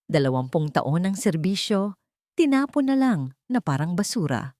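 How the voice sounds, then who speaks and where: A woman voicing a bitter, secretive elderly former housemaid in an audio storybook, in a hushed, confiding manner. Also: on the low side, big pitch swings, moderate pace, no room sound, clean studio sound. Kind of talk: audio storybook character dialogue.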